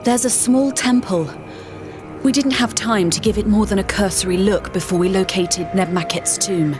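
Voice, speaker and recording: A woman's voice: mid-range voice, moderate pace, over music, close to an everyday voice. A woman voicing an anxious, earnest female expedition member in a video game cutscene, urgent in manner. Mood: worried.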